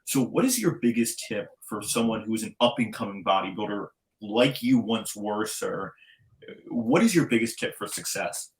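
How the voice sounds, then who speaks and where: Low-voiced podcast host. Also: male voice, expressive, normal speed, rough recording. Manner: enthusiastic, asks questions. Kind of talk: interview podcast.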